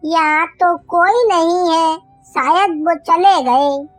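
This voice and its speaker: A woman's voice: extremely high pitch, slow pace, dry recording, faint music behind. A woman voicing an honest, polite, hardworking young boy bird in a Hindi children's cartoon. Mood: earnest. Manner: polite, earnest.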